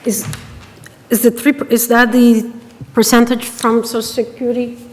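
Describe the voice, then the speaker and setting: Brazilian woman questioning with halting restarts. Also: a little high, level tone, a little mellow. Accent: Brazilian Portuguese. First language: Portuguese. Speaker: finance committee member. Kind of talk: public meeting discussion. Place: town meeting room. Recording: long reverb of a meeting room.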